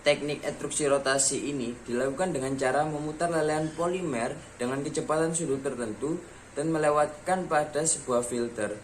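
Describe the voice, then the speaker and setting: A man's voice: fairly high pitch, normal speed, slight background noise, low-quality recording. A man reading a scripted educational voice-over about materials, stepping steadily through a list of points. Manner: reads steadily from a script, listing steps in order. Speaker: student presenter.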